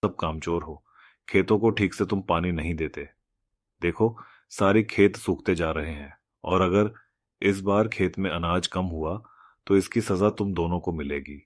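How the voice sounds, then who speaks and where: Cartoon villain: stingy, stubborn rich landowner, played by a man, low-pitched, harsh, bossy, close dry sound. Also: unhurried, no echo. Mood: scolding, demanding. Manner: harsh, scolding, domineering. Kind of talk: Hindi moral cartoon story acting.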